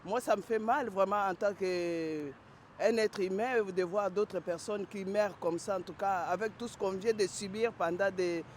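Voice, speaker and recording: Man, high voice, emphatic, faint city noise outside. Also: a little slow. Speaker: interviewee. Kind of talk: street interview.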